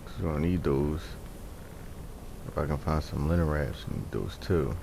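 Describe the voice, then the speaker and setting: A man's voice: rumbling low, lively intonation, moderate pace, gravelly. A man giving casual, offhand commentary as he plays a video game, muttering to himself. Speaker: gamer narrating his own gameplay. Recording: game noise under the voice. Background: video game dungeon ambience and combat sounds.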